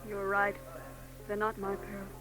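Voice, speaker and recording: An actress playing a soft-spoken, wistful young woman in 1940s radio-drama style. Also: mid-range voice, completely flat delivery, a little slow, over music, natural voice, rough recording. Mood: sad.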